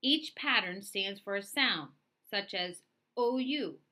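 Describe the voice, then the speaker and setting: A woman's voice: medium pitch, lively intonation, takes it slowly, sharp tone. A woman voicing a kindly, instructive male school headmaster in a storybook read-aloud.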